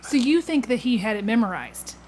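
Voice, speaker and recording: A woman's voice: on the high side, very animated, fairly quick, darkish tone. Woman podcast host over faint buzz. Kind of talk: casual interview chat. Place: indoor room at home next to a garage. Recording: buzzing air conditioner noise behind the voice. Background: air conditioner hum.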